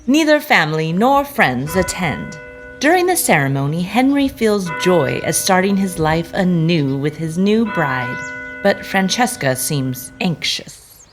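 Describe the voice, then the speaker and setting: A woman narrates a dramatic story in a lowish, very expressive voice. Her phrasing is deliberate and suspenseful, with faint music underneath.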